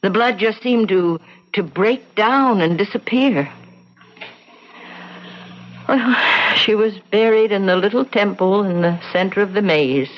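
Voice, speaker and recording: In a dull, lo-fi radio drama recording, an actress plays a solemn middle-aged housekeeper. She speaks at a medium pitch, halting with sorrow, as someone haunted by what she has lived through. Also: lightly acted. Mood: grave, haunted. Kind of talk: old-time radio drama acting.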